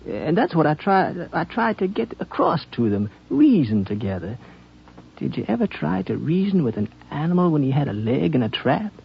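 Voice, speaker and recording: An actor playing a gentle, earnest frontier wanderer in a 1950s radio drama, musing and folksy, with halting phrasing. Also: male voice, fairly high pitch, sing-song, creaky, husky, full character voice. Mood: earnest, reflective. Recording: dull 1950s radio broadcast.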